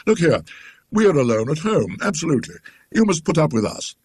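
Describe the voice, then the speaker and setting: A British man voicing a kindly club secretary, an emphatic and sympathetic gentleman in a storybook reading. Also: on the high side, big pitch swings, brisk, breathy edge, dry recording, clean studio sound, voice pushed up. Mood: concerned, insistent.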